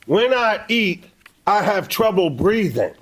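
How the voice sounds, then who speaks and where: A hapless, whiny heavyset man in his thirties, acted by a man at a table read. His voice is very high and swoops around, with some noise behind it. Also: sing-song, takes it slowly, fairly bright tone, heavily acted. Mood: defensive. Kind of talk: screenplay table read acting. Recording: distant, slightly noisy live reading.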